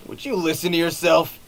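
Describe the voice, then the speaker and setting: An actor playing a cocky bandit thug in a video game, scornful but easily cowed, with a rough colloquial manner. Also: male voice, a little high, slight background noise.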